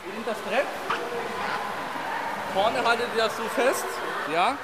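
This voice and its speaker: High-voiced young man, noisy pool. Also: unhurried. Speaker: swimming instructor. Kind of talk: instructing and explaining. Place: indoor swimming pool. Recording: noisy swimming pool surroundings.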